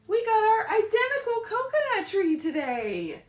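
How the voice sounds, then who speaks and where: A woman leading a story time for young children as a librarian, animated and encouraging, often putting questions to the kids, speaking from a room at home. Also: extremely high pitch, measured pace. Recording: roomy, echoey home livestream.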